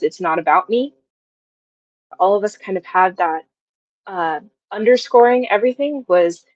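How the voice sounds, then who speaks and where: A woman's voice: fairly low pitch, dry recording, highs cut off. A woman in her twenties chatting casually as an interview guest, thinking aloud as she talks. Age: 20s. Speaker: guest, audio drama writer.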